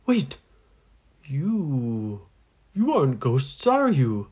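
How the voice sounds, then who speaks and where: A woman's voice: a bass voice, very animated, very slow, dark tone, breathy edge, full character voice. A woman voicing a lonely, mournful old ghost in a children's story, with sighs and exclamations. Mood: suspicious. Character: mournful, lonely old island ghost, long without hands.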